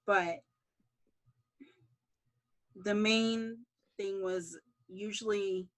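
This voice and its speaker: A woman's voice: fairly low pitch, rough recording. A woman series creator answering interview questions in a casual way.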